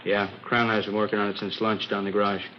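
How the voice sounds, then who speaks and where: Police investigator male actor, matter-of-fact, fast clipped phrases, lowish voice, dull old radio sound. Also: flat delivery, natural voice. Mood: businesslike. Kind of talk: 1950s radio police drama, clipped dialogue. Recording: dull, faintly hissy old radio recording.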